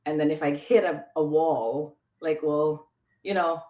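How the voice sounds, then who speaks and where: Podcast guest: low, chatty woman from the Philippines, lots of fillers, echoey room. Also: fairly even intonation, a little slow, mellow. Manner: chatty, lots of "like" and trailing restarts. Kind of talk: casual interview chat. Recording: roomy echo.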